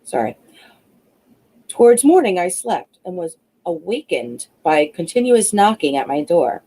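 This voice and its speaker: A woman reading a classic novel aloud as an audiobook narrator, expressive but a little halting in places. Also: lowish voice, husky, poor audio. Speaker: audiobook reader.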